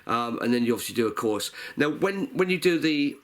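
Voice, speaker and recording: A man's voice: medium pitch, lively intonation, fairly quick. A man, congested from a cold and a little nasal, casually teaching a song, calling out chords and half singing them while he plays. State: stuffy nose from a cold. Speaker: guitar teacher. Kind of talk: casual music lesson. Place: living room at home. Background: cigar box guitar playing.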